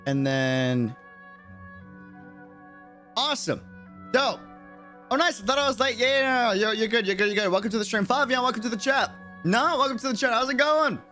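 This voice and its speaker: Guy streaming a game, highish voice, slangy and jokey, bit of music behind him. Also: animated, normal speed, pauses often, sharp tone. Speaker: game livestreamer. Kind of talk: casual livestream chat and reactions.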